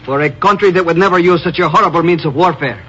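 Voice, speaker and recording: A man's voice: fairly high pitch, quick pace, bright, forceful tone. An actor playing a menacing male Latin American thief and spy messenger with formal phrasing in a 1950s radio drama. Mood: smug, menacing. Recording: muffled 1950s radio recording.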